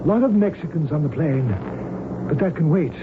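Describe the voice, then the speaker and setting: An actor playing a smug, coolly threatening hijacker cult reverend and former pilot in old-time radio drama style, formal and commanding. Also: male voice, fairly high pitch, animated, a little fast, close to an everyday voice. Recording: tinny, noisy old radio broadcast recording.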